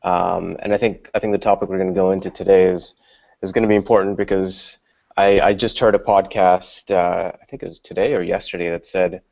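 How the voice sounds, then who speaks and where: A man speaking as a guest on an online panel, measured and hesitant with many fillers. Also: low voice, steady tone, gravelly, a little mellow. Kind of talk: casual panel discussion. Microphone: video call. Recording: slightly muffled video-call audio.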